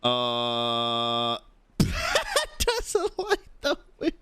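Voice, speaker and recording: A woman's voice: very deep voice, huge pitch swings, very slow, fairly bright tone, slight background noise. A woman streaming a game, giving chatty, casual reactions and muttered exclamations. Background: game music.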